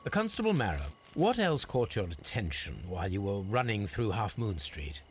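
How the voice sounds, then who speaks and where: An actor playing a shrewd, composed Victorian gentleman detective with an English accent, formal and measured, in a video game drama. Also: on the low side, big pitch swings, a little hiss or hum. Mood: inquiring, courteous.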